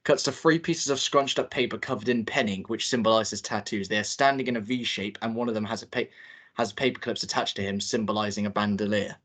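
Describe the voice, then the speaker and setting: A man reads the stage directions at a script read-through in a plain, steady manner. His voice sits a little low. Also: fairly quick. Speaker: narrator reading stage directions. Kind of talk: table read narration.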